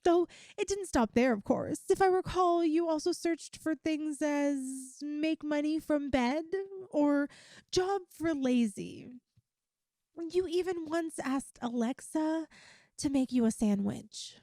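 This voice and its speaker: A woman playing a doting, possessive young yandere girlfriend in an ASMR-style roleplay, speaking to the listener in a cutesy, coaxing, teasing way with drawn-out emphasis. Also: high-pitched, warm tone, no room sound, clean studio sound. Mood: admiring, teasing.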